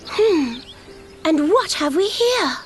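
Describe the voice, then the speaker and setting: A curious, blunt young adventurer from a fantasy game. Her very high voice swings about in lively fashion, informal and frank, with a little music behind. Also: slow pace, full character voice. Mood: curious, caring.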